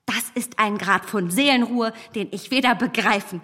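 A self-assured young gentlewoman of a period radio play, quick to take offence. Her voice sits fairly high and rings in a long reverberant space. She speaks in eloquent, emphatic, formal sentences. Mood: indignant. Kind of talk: radio drama acting of a literary classic.

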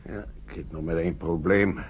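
An actor playing a cautious, kindly older countryman in a radio play, gravelly and husky, hesitant and repeating himself. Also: sits low, fairly even intonation, brisk, a little hiss or hum, natural voice. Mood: musing. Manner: hesitant, repeating himself, muttering short phrases. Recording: muffled old radio drama recording.

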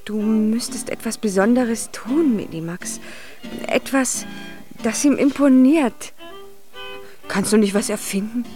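High-voiced, loving young dwarf maiden. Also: female voice, slow, dark tone, faint music behind. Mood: encouraging. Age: young adult. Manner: girlish, eager, affectionate. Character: cheerful, loving young dwarf woman, smith's daughter. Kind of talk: children's radio play acting.

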